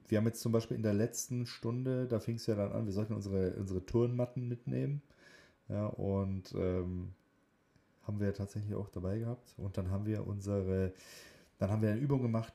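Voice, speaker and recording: A man's voice: fairly low pitch, dark tone. A man chats as a podcast co-host. His delivery is casual and jokey, with teasing asides. He often halts and rephrases as he goes. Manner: teasing, jokey, halting with self-corrections. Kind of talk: casual banter and chat about voice training classes.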